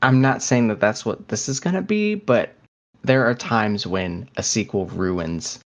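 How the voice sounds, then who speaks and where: A man's voice: fairly low pitch, animated, a little slow. A man co-hosting a podcast in casual chat, talking in a hesitant, rambling way. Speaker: podcast co-host.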